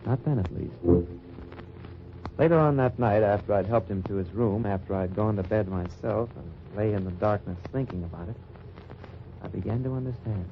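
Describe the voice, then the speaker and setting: An actor playing a dry, wry undercover agent who narrates in first person in a 1940s radio drama. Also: male voice, fairly low pitch, normal speed, a little hiss or hum, lightly acted. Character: hard-bitten American undercover agent narrating. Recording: lo-fi old radio transcription.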